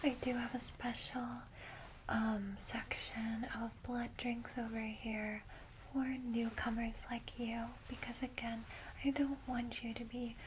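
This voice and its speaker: Gentle female vampire guide, acted by a woman: soft ASMR whisper, lightish pitch, soothing and unhurried, audible background noise. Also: lightly acted, rough recording. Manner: reassuring, unhurried, hushed. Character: gentle, welcoming female vampire guiding a newcomer.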